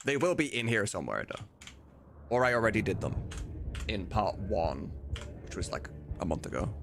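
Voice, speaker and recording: A man's voice: fairly low pitch, very animated, normal speed, background noise. A man hosting a casual livestream, talking informally and swearing now and then as he drifts between work and chat. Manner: informal, sweary, easily sidetracked. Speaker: livestream host and voice mod editor. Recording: music behind, computer typing. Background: music and keyboard clicks.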